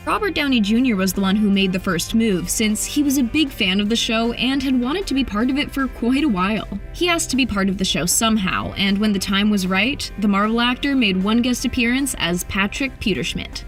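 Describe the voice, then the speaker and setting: A woman's voice: on the high side, lively intonation, a little fast, few pauses, soft background music. A woman reading scripted entertainment narration as a video narrator.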